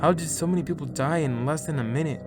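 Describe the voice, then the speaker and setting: Guy playing an excitable young buddy in an audio drama, highish and bouncy, loud music behind. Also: quick pace, lightly acted. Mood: uneasy.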